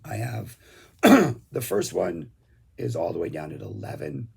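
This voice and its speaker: A man's voice: lowish voice, very animated, a little slow, warm tone. A man losing his voice, chatting casually and enthusiastically as he reviews concert recordings, rambling with lots of fillers. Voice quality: gravelly, raspy. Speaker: music reviewer.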